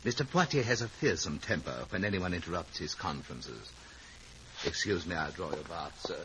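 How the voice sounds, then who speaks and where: Deep, ominous male butler. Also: expressive, normal speed. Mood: coldly polite. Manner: formal, deferential, ominous. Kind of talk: 1950s radio drama acting. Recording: noisy vintage radio recording.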